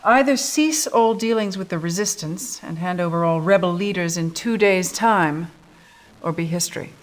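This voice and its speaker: A woman's voice: on the low side, moderate pace, lightly acted. A woman in her thirties playing a stern, businesslike resistance officer, giving a serious briefing in a video game cutscene. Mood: grave, urgent. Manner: serious, clipped briefing delivery.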